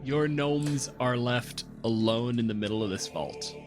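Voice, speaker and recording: A man's voice: medium pitch, unhurried. A man casually narrating and refereeing a tabletop roleplaying game as game master. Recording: music playing behind. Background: background music.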